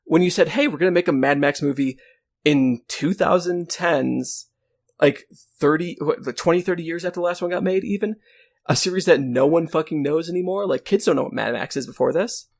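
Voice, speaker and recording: A man with a fairly high voice and a noticeable creak co-hosts a film show. He chats casually, riffing and joking as he comments. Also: a little fast. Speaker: podcast co-host.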